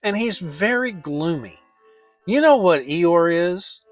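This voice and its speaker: A simple, good-hearted toy bear played by a man from a children's storybook. He speaks fairly high, with a melodic swing. He sounds earnest and innocent. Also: animated, slow, faint music behind. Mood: friendly. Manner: earnest, naive. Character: kindly, simple-minded, honey-loving male toy bear.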